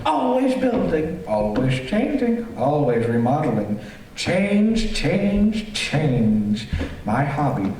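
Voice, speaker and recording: An actor playing a fussy, eager homeowner who is secretly a murderous villain, repeating himself in an old-time radio thriller on stage. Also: male voice, on the high side, very animated, slow pace, a little mellow. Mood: sinister glee. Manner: repetitive, fussy, chuckling, sinister. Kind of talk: stage performance of golden-age radio thriller. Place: theater stage, live performance. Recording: roomy theater, far from mic, faint noise.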